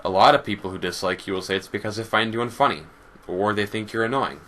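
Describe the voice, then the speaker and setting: A man delivering scripted response commentary as a YouTuber, articulate and argumentative in a measured way. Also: on the low side, sharp tone, a little hiss or hum.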